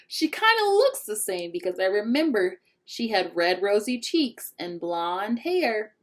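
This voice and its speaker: A woman reading a children's picture book aloud expressively, pausing to put questions to young listeners. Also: medium pitch, sing-song. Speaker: read-aloud storyteller.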